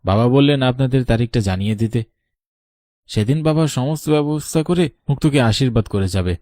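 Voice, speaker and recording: Guy doing polite young rich village son, talks quick, soft dark tone, cartoon. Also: mid-range voice, no room sound, clean studio sound, lightly acted. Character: polite, dutiful young landlord's son, lovestruck. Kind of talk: Bengali cartoon story voice acting.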